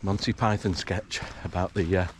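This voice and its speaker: A man narrating a morning countryside walk in a relaxed, hesitant way, full of fillers, his voice a little croaky from just waking up. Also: sits low, moderate pace, a little hiss or hum. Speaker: hiking vlogger. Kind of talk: casual walking commentary. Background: rural outdoor sounds, animals.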